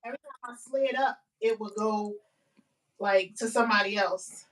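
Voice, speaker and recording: A woman's voice: medium pitch, moderate pace, crowd noise. A woman joining a casual livestream as a guest, chatty and unsure. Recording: voice from far off the mic.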